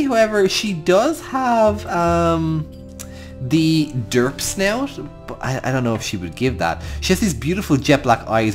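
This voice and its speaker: An Irish gaming host giving improvised storytelling commentary over a game, talking affectionately to the creatures. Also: medium pitch. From Ireland.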